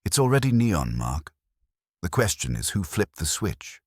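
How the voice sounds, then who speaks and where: A man voicing a sharp, unflinching woman under suspicion, pointed and dry, in a detective audiobook. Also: deep voice, very animated, a little slow, husky, no room sound, voice pushed up, full character voice.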